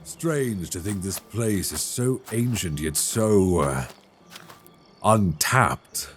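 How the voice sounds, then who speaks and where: A man playing an ancient dragon king in an intimate ASMR roleplay, tender and wry, with courtly, teasing phrasing and a husky voice. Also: deep voice, animated, takes it slowly, a little hiss or hum. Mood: gentle, explaining. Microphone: close binaural microphone.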